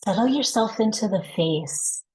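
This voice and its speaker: A woman leading a guided body scan meditation, giving gentle, reassuring, unhurried instructions. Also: medium pitch, lively intonation, breathy edge, low-quality recording. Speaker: guided practice facilitator.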